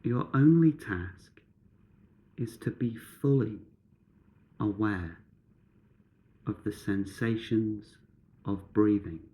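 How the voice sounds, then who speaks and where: A man guiding a meditation in measured, instructive short phrases with pauses between them. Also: fairly low pitch, mellow. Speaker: meditation guide.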